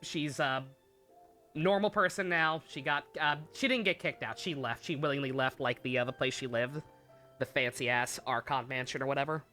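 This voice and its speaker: A woman's voice: a bass voice, moderate pace, sharp tone. A woman livestreaming a game, giving casual, sweary, sardonic and rambling commentary. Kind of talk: casual live stream commentary and recap. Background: game music.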